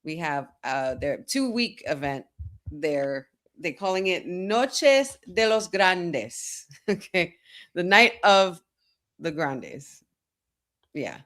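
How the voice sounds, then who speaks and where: A woman's voice: lowish voice, slow. A young woman with an American accent, co-hosting a podcast in casual chat and reading news with easy laughs and fillers. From United States. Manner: relaxed, laughs often, trails off with fillers. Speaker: podcast co-host.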